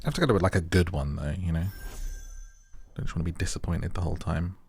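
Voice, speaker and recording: A man's voice: sits low, fairly even intonation, quick pace, gravelly, a little mellow, breathy edge. A man doing casual game commentary in a rambling, playful, informal way as the host of a gaming video. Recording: game music under the voice.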